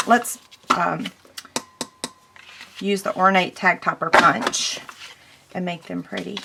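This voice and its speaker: A woman walks through a paper crafting project in a relaxed tutorial, narrating each step as she works. Her voice has gone squeaky from strain, and she fills pauses with ums. Steady background noise runs under the recording. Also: vocal fry.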